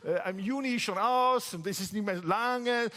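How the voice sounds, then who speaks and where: An Italian-accented man preaching at a church service, his voice sitting a bit higher than most men's. He moves between calm teaching and animated storytelling, and his pitch shoots up when he acts out other people's lines. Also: a little slow. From Italy. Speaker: pastor. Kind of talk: sermon with anecdote.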